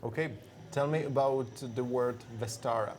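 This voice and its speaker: A man's voice: medium pitch, normal speed, a little mellow. A man hosting a live talk show in a hookah lounge, asking short questions and murmuring along. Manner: short prompts and frequent backchannel murmurs. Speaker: host.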